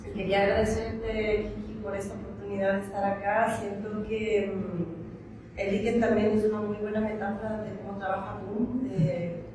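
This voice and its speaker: A woman from Ecuador with an Ecuadorian accent, calmly introducing herself as an artist, hesitant with fillers. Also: mid-range voice, normal speed. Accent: Ecuadorian Spanish. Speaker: artist on a panel. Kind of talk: brief self-introduction. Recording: echoey room, far from mic, clear noise.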